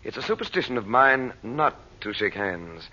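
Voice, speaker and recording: A courteous, guarded gentleman adventurer in a vintage radio drama. His husky voice is bright and lively in pitch, yet understated in manner. The old recording is slightly dull. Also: normal speed, exaggerated voice. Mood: politely firm. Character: polite, guarded American gentleman adventurer feigning cooperation. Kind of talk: 1930s-40s radio drama acting.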